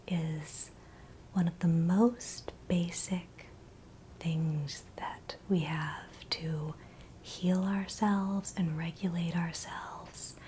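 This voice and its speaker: A woman whispers a guided meditation in the ASMR style, very slowly and softly. Her breathy voice sits fairly low and stays gentle and soothing. There is faint steady noise behind her. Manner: gentle, soothing, unhurried reassurance.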